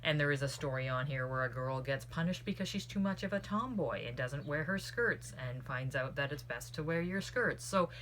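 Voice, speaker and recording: A woman's voice: low voice, lively intonation, moderate pace, few pauses, a bit bright. A woman giving a casual spoken book review in an earnest, opinionated way, drifting into tangents. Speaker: book club host reviewing a book. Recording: clearly audible background noise.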